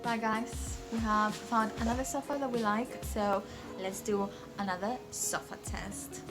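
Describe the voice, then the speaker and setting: A woman's voice: mid-range voice, fairly even intonation, unhurried, loud music behind the voice. Chatty young British woman vlogging. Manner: chatty and giggly, talks straight to viewers. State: tired after work. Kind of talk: casual vlog chat. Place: large furniture store, indoors.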